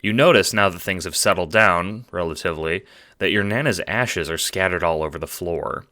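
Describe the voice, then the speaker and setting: A man's voice: deep voice, normal speed, pushed and bright. A man reading scripted second-person audio drama narration in a dramatic, wry storytelling manner. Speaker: narrator and producer.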